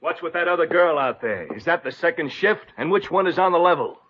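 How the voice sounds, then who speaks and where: An actor playing a gruff, suspicious male manager in a 1950s radio comedy, blunt and demanding. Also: fairly high pitch, expressive, fairly quick. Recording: dull vintage radio recording.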